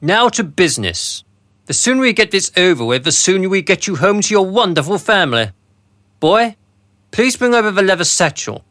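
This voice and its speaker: An officious, smooth-talking boss, acted by a man in a radio play. His voice is high and forceful, full of lively swings, with condescending courtesy. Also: normal speed, dry recording. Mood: businesslike. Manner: mannered, falsely courteous, condescending. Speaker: actor in a radio drama. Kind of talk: radio drama acting.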